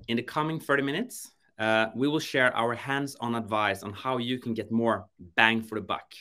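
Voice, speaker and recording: A man hosting a business webinar over video call from an office, measured and friendly. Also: fairly low pitch, bright, forceful tone. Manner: measured, friendly moderator with some restarts. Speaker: webinar host.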